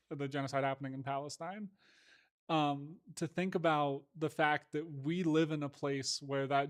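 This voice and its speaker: A man in a casual conversation, reflective and measured, hedging often with "like". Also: a little high.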